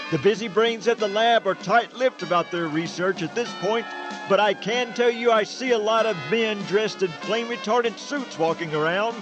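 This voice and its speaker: A man performs a chipper male reporter giving a comic news bulletin in a radio play. His voice is high and showy, with music clearly behind it. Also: lo-fi sound, lightly acted. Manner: punning, showy.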